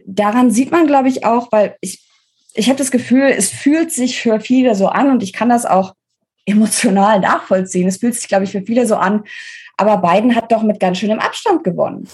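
A woman with a German accent and a somewhat husky voice at a middling pitch speaks as a podcast guest. She explains in an informal, emphatic way, thinking aloud and rephrasing as she goes. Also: fairly quick, a little mellow. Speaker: interview guest, author. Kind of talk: conversational expert explanation in a podcast interview.

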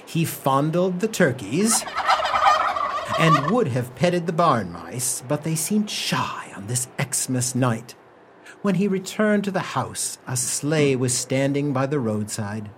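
A man's voice: medium pitch, big pitch swings, takes it slowly. A man narrating a radio play as a scripted storyteller. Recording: noticeable background noise.

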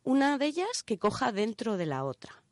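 A woman's voice: on the high side, big pitch swings, moderate pace, no room sound. A woman with an accent from Spain explains things informally on radio, step by step, often checking that listeners follow. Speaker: radio show contributor presenting a section. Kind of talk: casual explanatory radio chat.

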